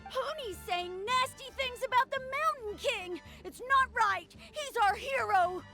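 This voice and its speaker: A woman's voice: very high voice, lively intonation, measured pace, over music, heavily acted. A woman voicing a sensitive young village girl who is loyal, idealistic and indignant, in a video game dub. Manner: indignant, pleading, childlike.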